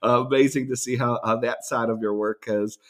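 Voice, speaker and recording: A man's voice: mid-range voice, fairly even intonation, a little fast. A man hosting a podcast, talking with a guest in a friendly, informal way. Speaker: podcast host. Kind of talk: conversational interview.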